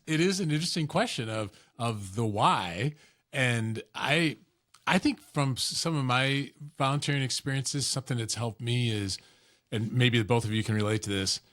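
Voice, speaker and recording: A man's voice: medium pitch, fairly bright tone. A man hosting an interview podcast, thoughtful and conversational, often restarting his sentences. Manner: thoughtful, with frequent restarts and affirmations. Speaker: podcast host.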